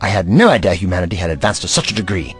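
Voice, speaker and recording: An actor playing an urgent, protective alien doctor and investigator in an audio drama, articulate and authoritative. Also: male voice, low voice, very animated, very fast, soft background music. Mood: thoughtful.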